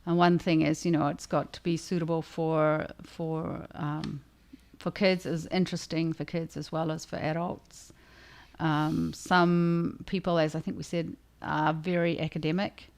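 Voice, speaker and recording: A woman's voice: low voice, flat delivery, measured pace. A woman author hosting a casual discussion with readers, conversational and thinking aloud as she goes. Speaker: author and livestream host.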